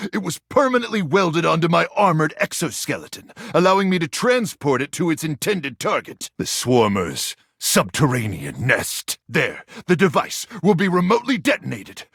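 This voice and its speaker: A man voicing a gruff, war-weary sci-fi soldier in a video game, melodramatic and intense, with deadpan absurd asides. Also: on the high side, dry recording, clean studio sound, exaggerated voice. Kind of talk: video game character acting.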